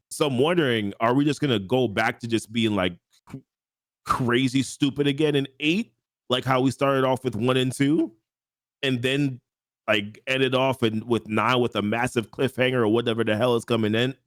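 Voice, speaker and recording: A man's voice: medium pitch, no echo. A man co-hosting a casual podcast, thinking aloud in a rambling way and often repeating himself, speaking close into a heavy dynamic microphone. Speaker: podcast co-host. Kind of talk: casual chat. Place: home studio, streaming live. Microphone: close dynamic mic.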